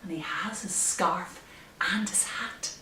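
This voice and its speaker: A middle-aged woman presenting story time for young children, expressive and animated, in simple phrases addressed to little ones. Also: lowish voice, slow, a little hiss or hum. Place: living room, indoors. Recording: roomy, distant camera microphone.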